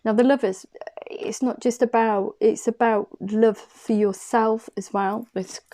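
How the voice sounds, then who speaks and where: A woman's voice: on the high side, steady tone, a little slow, mellow. A woman giving an intuitive tarot reading, chatty and effusive, thinking aloud as she turns the cards. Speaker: tarot card reader.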